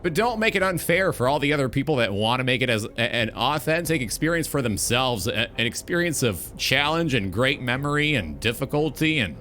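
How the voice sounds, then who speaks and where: A man's voice: mid-range voice, big pitch swings, a little fast, few pauses, sharp tone, soft background music. A man hosting a gaming talk show, delivering an opinionated monologue in an emphatic, blunt, sweary way.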